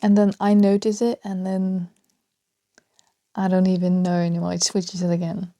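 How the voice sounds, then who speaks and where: A woman with a Danish accent rambling freely on her solo podcast, talking softly and often dropping to a half-whisper, with small laughs between thoughts. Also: fairly low pitch, monotone, normal speed, dry recording, clean studio sound. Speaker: podcast host.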